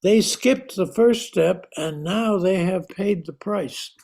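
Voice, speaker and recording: Man playing a slow, mellow, cunning doctor. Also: sits high, creaky, heavily acted. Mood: satisfied. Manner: measured, composed, explanatory. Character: calm, cunning doctor uncle, a scientist outwitting gangsters. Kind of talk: old-time radio thriller acting.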